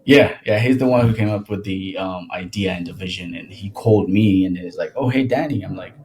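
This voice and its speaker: A man's voice: sits low, a little fast. A young man, a podcast guest chatting loosely with many restarts. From Ethiopia. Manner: relaxed, rambling with frequent restarts and laughs. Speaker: podcast guest, worship singer. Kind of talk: casual conversational interview.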